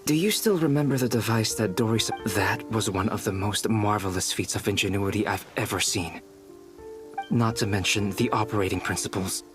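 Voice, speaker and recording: Low-voiced, scholarly man acting as an alchemist. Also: natural voice. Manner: scholarly, composed, precise. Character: calm, erudite young male alchemist absorbed in research. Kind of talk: scripted video game voice acting.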